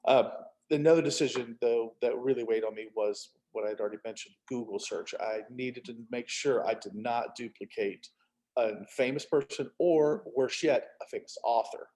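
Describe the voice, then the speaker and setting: A man's voice: medium pitch, moderate pace, darkish tone, poor audio. Middle-aged man, casual storyteller. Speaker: author. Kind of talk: casual chat.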